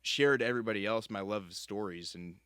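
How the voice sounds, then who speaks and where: A man with a crisp, bright voice at an average pitch, hosting a casual interview. His delivery is informal and a bit halting, captured with a dry, close sound. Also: no room sound. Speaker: podcast host.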